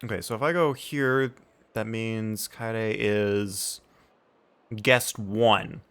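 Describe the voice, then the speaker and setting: A man's voice: fairly low pitch, steady tone, slow, a bit bright, clean tone. A man hosting a casual gaming livestream, thinking aloud as he sets things up. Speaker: livestreamer, host. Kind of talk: casual gaming stream chat. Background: background music.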